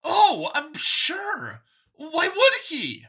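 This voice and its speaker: A smug young male cyborg zealot, acted out by a man, pitched high and piercingly bright. The delivery is grandiose and forceful, with big swings, as in a dramatic sci-fi audiobook. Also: huge pitch swings, very slow, vocal fry, full character voice. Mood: smug, zealous. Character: fanatical young cybernetic clone, smug, grandiose male machine.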